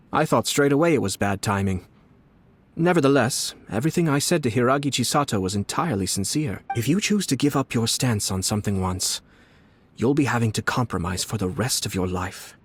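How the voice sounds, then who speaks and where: Man playing a deep, composed young nobleman. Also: a little hiss or hum, natural voice. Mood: calm, calculating. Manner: measured, courtly, formal. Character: composed, shrewd young nobleman and clan head. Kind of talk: scripted fantasy game voice acting. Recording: background music under the voice.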